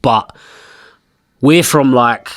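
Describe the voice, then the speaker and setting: A man, a musician guest telling stories in a lively way, with casual swearing. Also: medium pitch, extremely slow, dry recording, clean studio sound. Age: 30s. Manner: animated storyteller, swearing. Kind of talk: casual interview chat. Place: pub.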